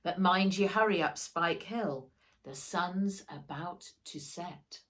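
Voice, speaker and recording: A woman's voice: fairly low pitch, slow, a bit bright. A woman voicing a kindly old Scottish grandfather in a children's storybook, affectionate and coaxing. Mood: encouraging.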